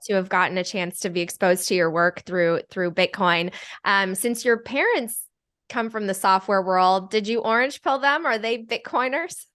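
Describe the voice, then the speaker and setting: A woman's voice: mid-range voice, normal speed. A woman with a mid-range voice hosts a conversational interview. She asks her questions in a friendly, upbeat manner and gives her guest encouraging replies. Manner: warm, encouraging, upbeat backchannels. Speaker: podcast host.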